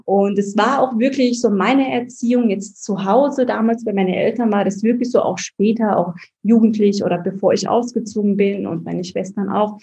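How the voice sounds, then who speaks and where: A woman from the Palatinate, a guest in an interview, talking about her work and family with many pauses and fillers. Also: medium pitch, steady tone, warm tone. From Germany, Palatinate. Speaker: guest, baby-feeding advisor. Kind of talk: casual interview chat.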